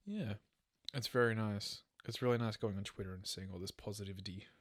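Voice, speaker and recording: A man's voice: fairly low pitch, fairly quick. A man with an Australian accent banters casually as a podcast co-host, informal and prone to swearing.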